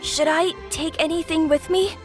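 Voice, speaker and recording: A woman's voice: very high-pitched, steady tone, moderate pace, over music. A woman voicing a polite, earnest, anxious young boy in a video game. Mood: earnest, worried.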